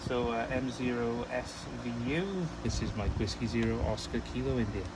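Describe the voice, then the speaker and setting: Male radio ham, chatty and rambling, casual delivery, average pitch, clear background noise. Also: moderate pace. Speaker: amateur radio operator, channel host.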